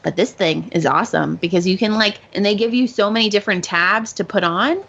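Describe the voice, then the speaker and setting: A woman's voice: medium pitch, brisk, gravelly, fairly bright tone, highs cut off. A woman co-hosting a podcast in informal, enthusiastic casual chat. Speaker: podcast co-host.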